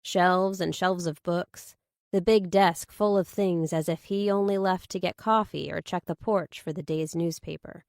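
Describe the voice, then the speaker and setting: A woman narrates a thriller novel in the first person. Her voice sits on the low side for a woman. The recording is dry and close, as befits an audiobook. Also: steady tone, a little slow, gravelly, no room sound.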